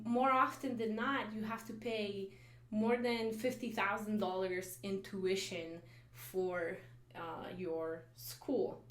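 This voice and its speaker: A woman, a software engineer giving career advice in an earnest vlog, thinking aloud with fillers and restarts. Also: mid-range voice. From Poland. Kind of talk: casual explanatory vlog talk. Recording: roomy echo.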